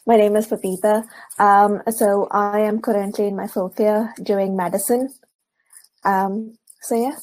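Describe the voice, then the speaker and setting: A woman's voice: medium pitch, little pitch movement, measured pace, darkish tone, rough recording. A woman, a medical student on a webinar panel, introducing herself in short, hesitant words.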